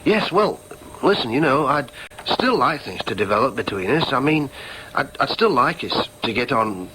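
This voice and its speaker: A man's voice: medium pitch, sing-song, moderate pace, noisy background, natural voice. A man playing an insecure, argumentative young adult son in a radio drama, explaining emphatically and questioning himself anxiously. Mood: wounded, hopeful. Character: argumentative, insecure grown son, theorising then doubting himself. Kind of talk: British radio drama acting with inner monologue.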